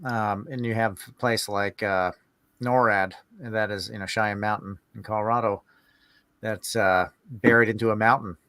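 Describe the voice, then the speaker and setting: A man hosting a podcast interview, speaking casually and haltingly as he feels his way through his questions. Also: lowish voice, fairly even intonation, pushed and bright, clean tone, dry recording. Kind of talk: casual, hesitant interview chat.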